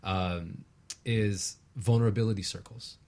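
A man's voice: lowish voice, fairly even intonation, unhurried, darkish tone. A man speaking as a talk show guest and workshop facilitator, casually explaining things.

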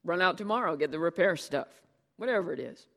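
A man's voice: high-pitched, lively intonation, vocal fry, sharp tone, long reverb tail, voice pushed up, heavily acted. A reader voicing a heavyset, easygoing man who talks in loose slang and dodges blame, in an audiobook. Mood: cheerful. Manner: laid-back slang, quick denials. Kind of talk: audiobook character dialogue.

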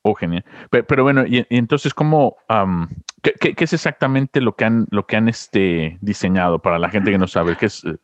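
A man hosting an interview podcast, talking in a halting way and often restarting his words. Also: on the low side, no room sound, dull audio. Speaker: podcast host. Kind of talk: casual interview chat.

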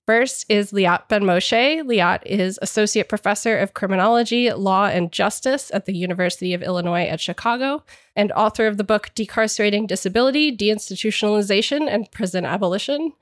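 A woman hosts a podcast, her voice a little stuffy from a cold. She explains things earnestly at a middling pitch, recorded close and completely dry. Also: fairly quick, no echo. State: slightly congested from a cold. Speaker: podcast host. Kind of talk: scripted podcast intro and discussion.

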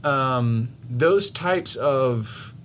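A man's voice: mid-range voice, extremely slow, slight background noise. Rambling, swearing male podcast co-host. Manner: rambling, restarts often, swears, animated when telling stories. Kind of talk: casual podcast chat.

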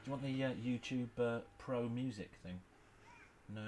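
A man's voice: medium pitch, normal speed, a little mellow, slight background noise. A man co-hosting a podcast in casual comic chat, with a joking, self-mocking manner. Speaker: podcast co-host.

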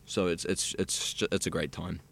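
A man's voice: low voice, little pitch movement, moderate pace, vocal fry, a bit bright. A young man from South Africa, a radio student chatting easily and at length in an interview. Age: young adult. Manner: chatty, rambling and easygoing. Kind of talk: casual interview chat.

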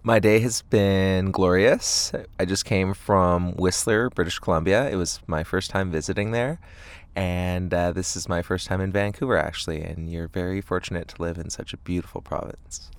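Male band frontman, casual interview, deep gravelly-edged voice, easygoing and measured. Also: fairly even intonation, dry recording. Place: inside a parked tour van.

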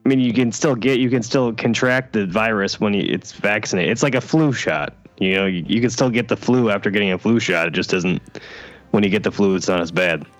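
A man with a very deep voice, sounding stuffed up with a cold, answering in a flat, matter-of-fact way. Faint music plays underneath. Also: brisk. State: congested with a cold. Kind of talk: improvised comedy banter.

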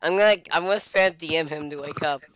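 Bright, playful podcast host. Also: medium pitch, quick pace. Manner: playful, giggly. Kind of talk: casual group voice chat, teasing.

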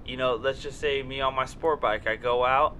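A man's voice: mid-range voice, fairly even intonation, normal speed, pushed and bright, a little hiss or hum. A man hosting a casual podcast, rambling and swearing, with lots of fillers and repeated words. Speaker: podcast host.

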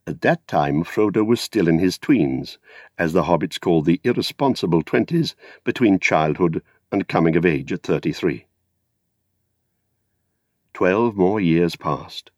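A British man reads a literary tale as an audiobook narrator. His voice sits very low but moves widely in melody, with a measured, theatrical delivery. The recording is close and dry. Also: lively intonation, a little mellow, dry recording. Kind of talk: scripted literary storytelling narration.

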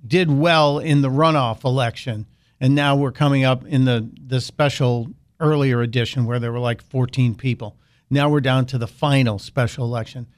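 A man hosting a talk radio show, delivering an opinionated monologue with sarcastic, mocking asides. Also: medium pitch.

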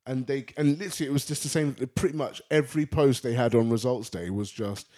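A man co-hosting a podcast, talking in an animated, slangy way with frequent restarts. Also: medium pitch, fast. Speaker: podcast co-host. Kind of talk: casual podcast chat.

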